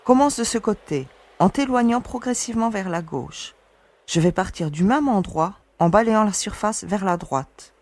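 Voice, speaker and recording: A woman acting a resolute young space explorer who reasons calmly and gives orders. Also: mid-range voice, moderate pace. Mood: steady, reasoning. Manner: calm, reasoning, commanding. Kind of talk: audio drama acting.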